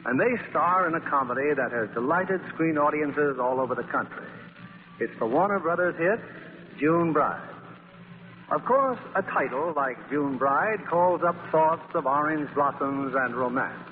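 A man's voice: fairly high pitch, lively intonation, a little slow, phone-quality sound, a little hiss or hum. A man hosting and narrating a 1940s radio theater broadcast in a formal, scripted style. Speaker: radio producer and host. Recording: thin 1940s radio, music behind.

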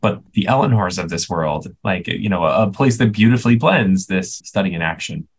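A man co-hosting a podcast, thinking aloud with halting restarts as he searches for words. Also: low voice, husky. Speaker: podcast co-host. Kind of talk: casual discussion podcast.

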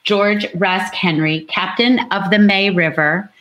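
A woman's voice: mid-range voice, steady tone, measured pace, highs cut off, background noise. A woman author talking casually about her novel at a book launch, chatty and explanatory.

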